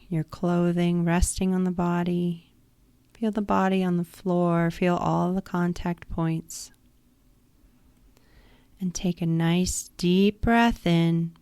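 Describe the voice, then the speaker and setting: Slow, soft-spoken woman guiding meditation. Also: fairly low pitch, clean tone, no room sound. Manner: slow, soothing, instructive. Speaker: yoga nidra guide. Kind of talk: guided meditation.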